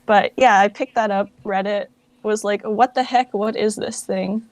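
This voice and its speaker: A woman's voice: medium pitch, fairly even intonation, unhurried, no echo. A young woman speaking as a guest in a casual interview, informal and self-deprecating in the way she talks. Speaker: interview guest, project founder and voice actress.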